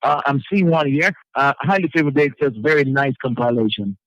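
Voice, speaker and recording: Bright-voiced male radio host, halting. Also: mid-range voice, lively intonation, dry recording, muffled recording. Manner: halting, repeats words. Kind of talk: casual live chat, reading listener messages.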